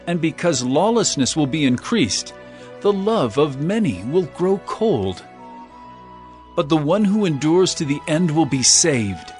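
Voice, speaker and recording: An actor playing a solemn, authoritative holy teacher prophesying in an earnest, declamatory way in a dramatized audio Bible. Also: male voice, on the high side, very animated, unhurried, a little mellow, soft background music. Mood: solemn warning.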